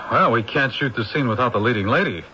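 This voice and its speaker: An actor playing a calm, businesslike film director in a 1970s radio drama. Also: male voice, medium pitch, brisk. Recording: dull old radio broadcast with faint hiss.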